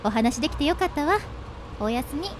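Radio drama older sister, woman acting: high, swooping delivery, bossy, teasing, caring underneath. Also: expressive, a little hiss or hum. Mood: teasing, friendly. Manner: brisk, teasing, blunt with her brother.

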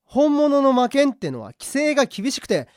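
A rough-talking prison guard with a soft heart, played by a man for radio drama. He is blunt and slangy, his pitch moving freely, recorded close and dry. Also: big pitch swings, normal speed, no room sound. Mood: grumbling. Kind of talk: amateur radio drama acting.

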